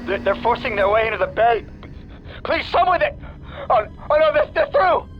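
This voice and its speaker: Shrieking, terrified maintenance worker. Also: male voice, very high voice, animated, moderate pace, muffled recording. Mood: panicked agony. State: panicked, crying out in agony. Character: terrified male maintenance officer under droid attack. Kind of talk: video game recorded death log.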